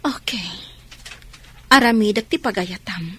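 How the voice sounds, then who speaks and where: An actress playing a soft, forgiving, hesitant wife with a breathy voice in an Ilocano radio drama. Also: female voice, mid-range voice, unhurried. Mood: tender, hesitant.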